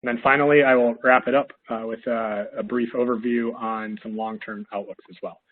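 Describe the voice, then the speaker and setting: Male analyst, slightly hoarse from a cold, measured webinar delivery with fillers, mid pitch, thin phone-quality audio. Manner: steady, measured, with frequent fillers. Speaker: industry analyst presenting a webinar. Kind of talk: presenting a business webinar.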